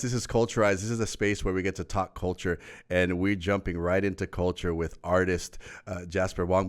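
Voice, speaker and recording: A man hosting an interview show, chatting casually and reacting eagerly to his guest. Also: fairly low pitch. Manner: eager, reacting with quick "wow" and "really". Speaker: show host.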